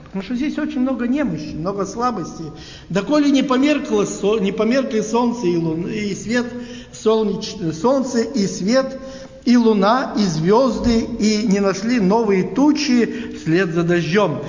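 A man preaching a sermon in a halting, unhurried way, often asking his listeners rhetorical questions. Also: high voice, a little mellow. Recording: echoey hall, dull lo-fi sound.

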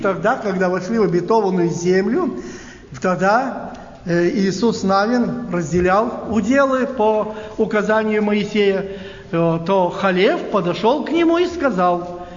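A man with a high voice preaches in a halting, unhurried way and keeps checking in with his listeners. The sound is dull and lo-fi. It carries a long, roomy echo, as if recorded in a big church hall. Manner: unhurried, halting, asks listeners rhetorical questions. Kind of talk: sermon.

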